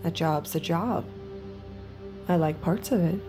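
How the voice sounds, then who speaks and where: An actress playing a guarded, detached young escort in an intimate audio drama, speaking in a cool, measured way. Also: female voice, fairly low pitch, music in the background, close to an everyday voice. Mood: guarded, reflective. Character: guarded, detached young woman escort hiding her true self. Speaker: lead character and narrator. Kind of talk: intimate audio drama acting with inner narration.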